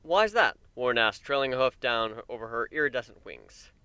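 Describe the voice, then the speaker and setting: A man's voice: mid-range voice, steady tone, a little fast, pushed and bright, clean tone, no room sound. A man narrating a fan fiction story as a scripted dramatic reading.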